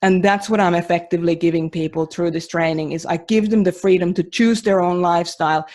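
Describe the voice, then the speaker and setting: A woman's voice: on the low side, steady tone, brisk, darkish tone. A woman from Finland, a mentor running a training course, talking casually and emphatically on a podcast with many restarts and fillers.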